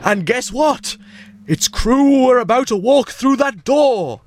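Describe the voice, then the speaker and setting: A man's voice: very high voice, animated, takes it slowly, heavy background noise, heavily acted. A man voicing a panicky, eccentric mad scientist in a comedy audio sketch, melodramatic and exclamatory. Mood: grave, dramatic.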